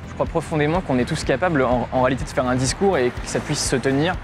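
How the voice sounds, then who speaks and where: Young guy in a street interview, lots of euhs, mid pitch, music behind. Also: fast talker. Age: young adult. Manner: hesitant, many "euh" fillers. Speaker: law student, association president. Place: outdoors in front of a building. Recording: music bed under the voice.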